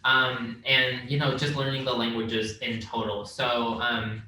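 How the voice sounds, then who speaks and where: Young man, bright voice. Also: mid-range voice, measured pace. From Vietnam. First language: Vietnamese. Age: young adult. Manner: relaxed, rambling, laughs easily. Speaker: podcast co-host. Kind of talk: casual podcast chat. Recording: very echoey room, faint noise.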